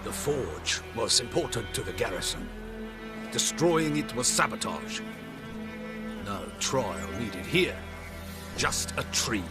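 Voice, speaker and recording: A stern occupying officer in a fantasy game. His mid-pitched voice is formal and clipped, with lively swings. Music plays behind. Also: slow pace, long pauses. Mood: cold, severe. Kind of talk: video game acting.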